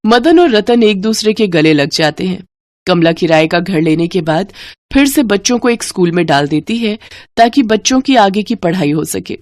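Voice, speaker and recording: Female narrator for moral bedtime stories, medium pitch, dry studio sound. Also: expressive, normal speed, a little mellow, no echo. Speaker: storyteller. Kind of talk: scripted moral-story narration.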